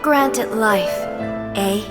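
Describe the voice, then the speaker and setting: A woman's voice: medium pitch, slow pace, over loud music, close to an everyday voice. A woman playing a serene, wistful ruler. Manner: soft, solemn and tender. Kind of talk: video game voice acting.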